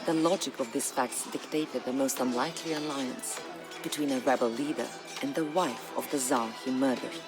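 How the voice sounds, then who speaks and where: An actor narrating a historical game campaign as a solemn storyteller, with grave, measured, dramatic delivery. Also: medium pitch, fairly even intonation, close to an everyday voice. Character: solemn storyteller chronicling a medieval Bulgarian uprising. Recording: music under the voice. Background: background music.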